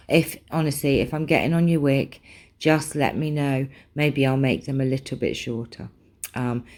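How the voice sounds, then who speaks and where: A woman's voice: very deep voice, darkish tone. Chatty British woman rambling over craft trims. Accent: British English. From England. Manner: chatty and rambling, with many ums. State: slightly losing her voice, clearing her throat. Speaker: craft vlogger. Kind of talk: casual chat showing craft supplies.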